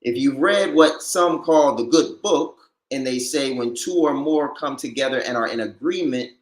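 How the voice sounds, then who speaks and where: A man's voice: medium pitch, moderate pace, echoey room. A man hosting a motivational show, talking in an enthusiastic, conversational way. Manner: enthusiastic, conversational, uses fillers like "like". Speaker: show host. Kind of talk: casual motivational chat.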